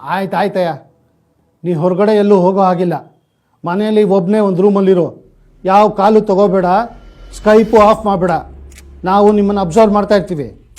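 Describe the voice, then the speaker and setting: A man's voice: high-pitched, fairly even intonation, normal speed, pauses often, a little mellow, natural voice. A man playing a fake senior police officer, a manipulative and condescending scammer in an awareness skit. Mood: bossy, threatening. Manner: condescending, authoritative.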